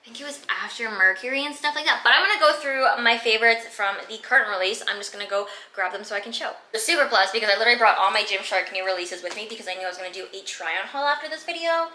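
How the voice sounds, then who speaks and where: A woman's voice: fairly high pitch, quick pace, hardly any pauses, sharp tone, echoey room. A woman of twenty-five from Canada, chatting casually through a fitness Q and A with lots of filler words. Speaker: fitness vlogger. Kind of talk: casual Q and A chat. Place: her room.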